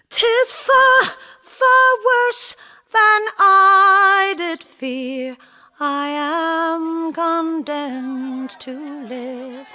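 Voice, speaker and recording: A woman's voice: high-pitched, bright, forceful tone, full character voice. A woman playing a mournful ballad singer who tells the tale in plaintive folk song for a radio drama. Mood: plaintive, wry. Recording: plucked guitar under the voice. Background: plucked string instrument.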